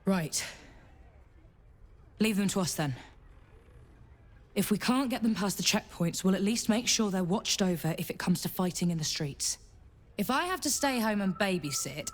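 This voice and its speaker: A woman playing a brisk, bossy grown daughter in a video game, blunt and teasing but fond underneath. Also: on the low side, natural voice. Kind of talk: video game dramatic acting.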